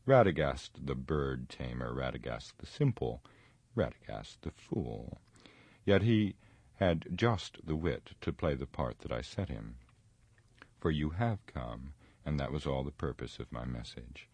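A very deep-voiced, haughty wizard played by a male narrator for a fantasy audiobook. He is grave and scornful, stretching out his mockery with pompous menace, in a dry, close recording. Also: expressive, darkish tone, breathy edge, dry recording, full character voice. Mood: scornful, boastful. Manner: sneering, pompous, drawn-out mocking delivery. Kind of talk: dramatic audiobook reading of fantasy.

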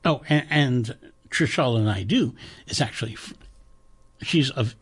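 A man hosting a radio talk show, chatting in a rambling, enthusiastic way and making a pledge-drive pitch from the studio. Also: unhurried, breathy edge.

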